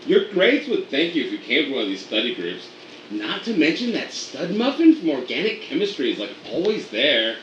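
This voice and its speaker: A man's voice: fairly high pitch, very animated, normal speed, roomy sound, distant mic, muffled recording, slight background noise, pitched above the performer's own voice. A man voicing a young woman nursing student who talks in a bubbly, teasing way. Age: young adult.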